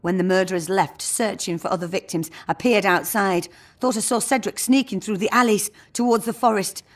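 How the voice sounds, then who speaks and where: A secretive townswoman from a fantasy video game, voiced by an actress, shaken but earnest. Her medium-pitched voice carries urgency as she confides. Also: a little fast, natural voice. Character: shaken, secretive female informant in fantasy town.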